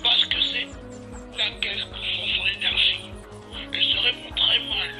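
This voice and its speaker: A man's voice: high voice, steady tone, unhurried. A man voicing a bossy technical adviser who instructs cartoon pilots in a comic fan dub. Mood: explaining. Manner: instructing, commanding. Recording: music behind the voice.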